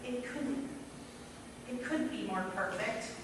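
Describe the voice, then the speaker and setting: A woman's voice: fairly high pitch, slow. Woman acting wistful aunt, distant echoey hall. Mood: earnest, tender. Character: wistful, regretful middle-aged aunt confiding in her niece. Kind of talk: amateur one-act stage play acting. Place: stage in a hall, indoors. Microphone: distant audience camera. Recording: echoey hall from far off, noisy.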